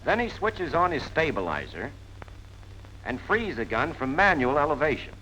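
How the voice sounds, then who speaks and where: A 1940s American military instructor with a bright, forceful voice and lively, swinging intonation. He narrates in a punchy, colloquial way, explaining each step plainly. The soundtrack is an old film recording with faint noise. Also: a little high, normal speed, low-quality recording.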